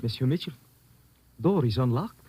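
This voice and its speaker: An actor playing a shifty, money-minded foreign guide with a European accent and excitable broken English in an old radio drama. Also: male voice, medium pitch, normal speed, lightly acted. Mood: wheedling, excited. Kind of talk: 1940s radio drama acting. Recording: noisy old radio recording with clicks.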